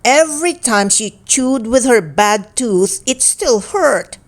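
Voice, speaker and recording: A woman reading a children's storybook aloud in an expressive, deliberate storytelling manner. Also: fairly high pitch. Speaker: storybook reader.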